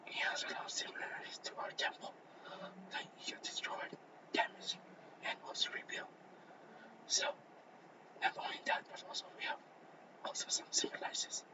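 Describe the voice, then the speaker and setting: A man who has lost his voice, whispering a class presentation over slides in a halting way with many restarts. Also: far from the mic, highs cut off. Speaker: student presenter.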